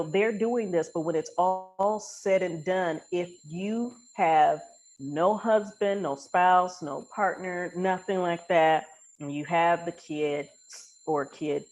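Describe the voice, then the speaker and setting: A woman's voice: on the low side, a little mellow, rough recording. A woman hosting a casual, faith-centred podcast conversation in an informal, emphatic, colloquial way. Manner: informal, emphatic, uses everyday colloquial phrasing. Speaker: podcast host.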